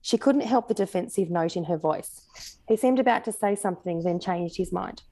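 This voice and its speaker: A woman's voice: on the low side, normal speed, mellow, poor audio. A woman with an Australian accent, an author talking casually and reading fiction aloud as a guest.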